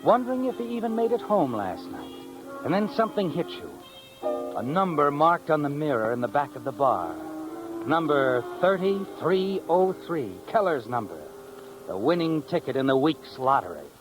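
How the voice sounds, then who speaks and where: A man's voice: on the high side, big pitch swings, rough recording. Ominous 1950s radio mystery narrator, male. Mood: ominous, knowing. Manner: ominous, knowing, dramatic pauses, taunting rhetorical questions. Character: knowing, taunting male narrator addressing the doomed schemer. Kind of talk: 1950s radio drama narration, second person. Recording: old radio recording with music behind.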